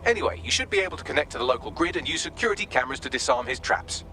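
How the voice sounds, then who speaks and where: High, lively, sardonic AI assistant. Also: male voice, very fast, a little hiss or hum. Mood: dry teasing. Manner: dry, sarcastic, articulate. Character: witty, sardonic male AI assistant briefing resistance operatives. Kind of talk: video game mission briefing.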